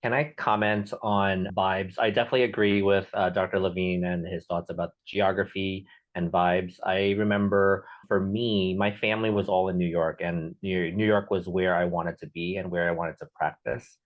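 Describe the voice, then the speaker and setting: Deep-voiced man on dull audio. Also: little pitch movement, normal speed. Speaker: clerkship director. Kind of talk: panel webinar talk.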